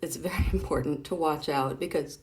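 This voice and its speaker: A woman's voice: low-pitched, brisk. A woman hosting a casual read-aloud of comic strips, chatting playfully and expressively as she explains things directly to a child.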